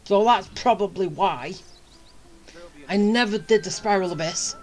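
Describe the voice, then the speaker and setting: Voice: mid-range voice, unhurried, darkish tone, faint music behind, poor audio. A video game streamer giving casual commentary, muttering and thinking aloud in a rambling way. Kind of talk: casual gameplay commentary, talking to self.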